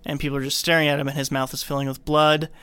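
A man hosting a podcast, casually retelling a film's story in an animated storytelling way. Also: fairly high pitch, very fast, smooth, dry recording. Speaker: podcast host recapping a film. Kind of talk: casual film recap narration.

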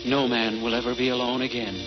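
A man voicing a solemn, gentle male shepherd in a 1940s radio play, speaking slowly and reverently. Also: mid-range voice, flat delivery, over music, pitched below the performer's own voice, natural voice. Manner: slow, grave, reverent. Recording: muffled 1940s radio broadcast.

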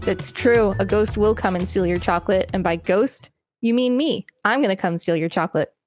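A woman podcast host with a mid-range voice reads encyclopedia text aloud and chats about it. Soft music plays faintly behind her. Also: medium pitch, normal speed, dry recording. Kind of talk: reading an encyclopedia article aloud with commentary.

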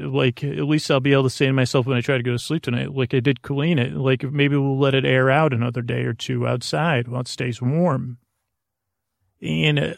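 Male sleep-podcast host, creaky and soothing, meandering and self-interrupting, recorded close and dry. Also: mid-range voice, dry recording. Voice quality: creaky, lulling tone. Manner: halting, digressive, self-interrupting. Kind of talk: rambling, meandering bedtime monologue.

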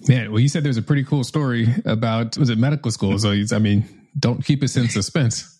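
A man's voice: medium pitch, a little fast, dark tone. A man in his thirties hosting a podcast, upbeat and informal, using casual slang. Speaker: podcast host.

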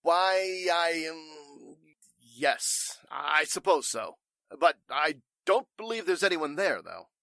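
A man's voice: fairly high pitch, sing-song, takes it slowly, creaky, bright, forceful tone, dry recording, heavily acted. A man playing a flustered, evasive warehouse owner in 1940s radio drama style, stammering and speaking with stuffy formality.

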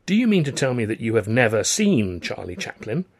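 A man voicing an affable, wily music-hall company manager who talks politely and briskly. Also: medium pitch, very animated. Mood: polite, cheerful.

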